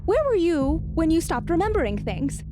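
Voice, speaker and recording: A woman's voice: very high-pitched, very animated, moderate pace. A woman improvising as a player in a tabletop role-playing game, talking in a hesitant, earnest and literal way over music. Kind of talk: casual improvised in-character talk. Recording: loud music under the voice.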